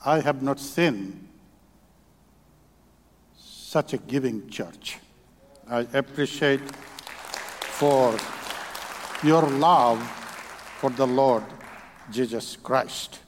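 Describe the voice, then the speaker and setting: A man from Bangladesh with a Bangladeshi accent, a native Bengali speaker, preaching as a visiting speaker in church, in short halting phrases. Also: mid-range voice, some chatter behind, a little hiss or hum. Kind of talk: church sermon testimony. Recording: long reverb of a church hall.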